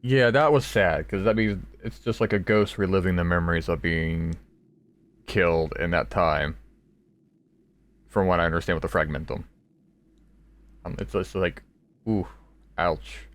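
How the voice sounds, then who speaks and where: A man giving casual, rambling let's play commentary, halting as he goes and swearing casually. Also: low-pitched. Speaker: gaming video creator.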